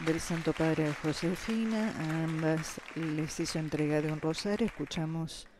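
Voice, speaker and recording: A man's voice: a little high, normal speed. A man giving live event commentary as a broadcast commentator. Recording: crowd and noise behind commentary. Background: event crowd ambience.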